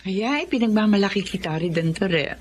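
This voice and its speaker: Woman as proud naggy wife n mom, filipino radio drama, very expressive pitch, some background noise. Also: fairly quick, natural voice. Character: proud, mildly nagging wife and mother. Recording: clear background ambience noise.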